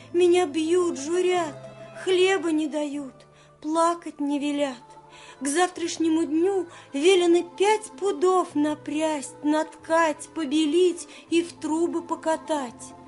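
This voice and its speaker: Storybook orphan girl, played by a woman: young, high-pitched, plaintive, gentle, faint music behind. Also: steady tone, slow. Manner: plaintive lamenting.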